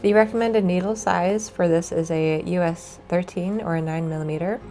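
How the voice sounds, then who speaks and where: A woman with a stuffy, cold-affected voice, explaining a knitting tutorial in a relaxed way with frequent ums. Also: on the low side, moderate pace, soft background music. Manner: relaxed, many ums and uhs. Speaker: knitting instructor.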